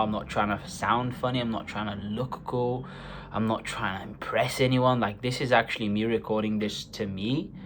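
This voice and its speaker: A man's voice: lowish voice, fairly bright tone, slight background noise. A man in his twenties from Bulgaria, talking earnestly in a rambling, personal vlog monologue with many fillers. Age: 20s. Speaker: vlogger.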